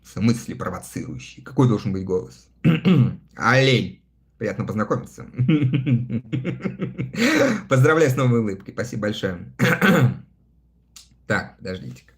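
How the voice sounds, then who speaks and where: Animated man in his thirties streaming. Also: on the low side, measured pace, long pauses. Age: 30s. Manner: chatty, reads comments aloud and answers them with frequent laughs. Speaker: live streamer reading viewer chat. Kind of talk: casual livestream chat.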